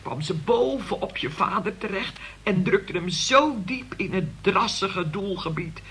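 A middle-aged, long-suffering mother in a radio drama. Her voice is bright and forward, and she tells her tales in a solemn, pious, long-winded way. There is faint noise on the recording. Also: mid-range voice, expressive, measured pace. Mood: earnest storytelling. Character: forgiving, long-suffering mother recounting family disaster to son. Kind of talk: radio play acting.

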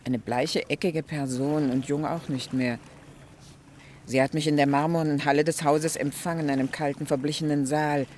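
A woman's voice: sits low, fairly even intonation, moderate pace, darkish tone, close to an everyday voice. An actress playing a worldly, ironic middle-aged society lady in a 1960s German radio drama, teasing and questioning expressively. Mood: gossipy, teasing. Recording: faintly noisy 1960 radio recording.

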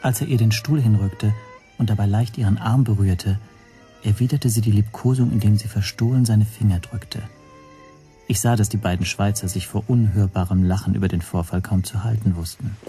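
A male actor as an uneasy, watchful hotel guest narrating a radio play in a low, soft-edged voice. He is measured, quiet and exact, with faint music behind him. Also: lightly acted. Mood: observant, measured. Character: nervous, observant male hotel guest telling his story. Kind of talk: scripted first-person radio drama narration.

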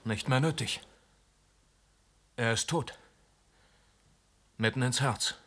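An actor playing a dry, unruffled man at a murder inquiry, speaking in a measured, wry way in a German radio play. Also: mid-range voice, background noise, close to an everyday voice. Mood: sober, wry.

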